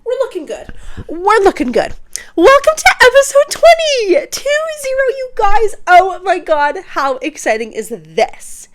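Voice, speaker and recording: A woman's voice: very high-pitched, huge pitch swings, a little slow. A young woman hosting her own podcast, chatty and bubbly, sounding congested while getting over an illness. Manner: bubbly, chatty, uses slang and filler words. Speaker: podcast host. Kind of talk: casual solo podcast chat. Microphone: podcast microphone.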